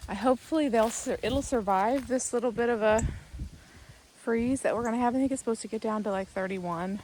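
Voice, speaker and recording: A woman, slightly raspy from a sore throat, chatting casually through a garden vlog while filming outdoors on her phone. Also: on the high side, brisk, a little mellow, slight background noise, rough recording. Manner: chatty, rambling with many ums. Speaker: vlogger, gardening channel host. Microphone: phone held in hand.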